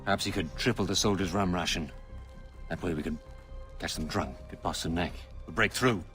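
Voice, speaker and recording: An actor playing a stern, embittered colonial rebel leader in a video game, commanding and emphatic. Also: male voice, low-pitched, brisk, fairly bright tone, soft background music. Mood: urgent, scheming. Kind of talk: dramatic video game acting.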